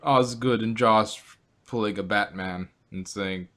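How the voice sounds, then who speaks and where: A man's voice: on the low side, measured pace, a bit bright. Man co-host explaining, many restarts. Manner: restarts often, explains references. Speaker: podcast co-host. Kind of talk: casual review chat.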